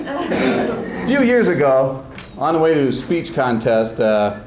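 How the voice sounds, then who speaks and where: A man's voice: fairly high pitch, big pitch swings, takes it slowly. A man hosting a showcase as speech coach, rambling and jokey in his informal introductions. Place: school event before an audience. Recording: echoey hall with background noise.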